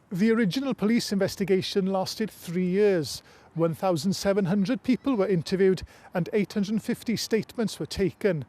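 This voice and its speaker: High-voiced man narrating news report. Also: darkish tone.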